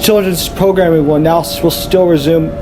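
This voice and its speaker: A man with a somewhat high voice delivers a mock emergency alert announcement. He is solemn and repeats himself, at times tripping on the script. Clear noise runs through the recording. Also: fairly even intonation, normal speed. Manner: solemn, repetitive, stumbling over the script. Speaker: emergency alert announcer in a fan-made scenario. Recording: noisy amateur recording with loud background noise.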